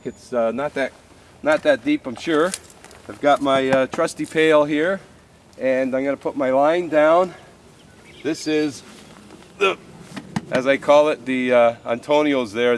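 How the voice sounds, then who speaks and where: A man's voice: mid-range voice, slight background noise. A man in his fifties with a slightly stuffy cold, hosting a fishing show outdoors on a creek bank, chatty and folksy with plenty of "uh"s. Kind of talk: casual outdoor how-to talk to camera.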